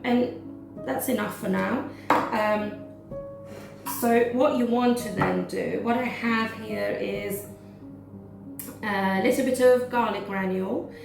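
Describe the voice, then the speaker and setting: A woman running her cooking vlog, a little hoarse, chatting casually with lots of "um" fillers as she demonstrates a recipe at home. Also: medium pitch. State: slightly hoarse from losing her voice. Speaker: cooking vlogger. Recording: echoey kitchen, mic at a distance, background music.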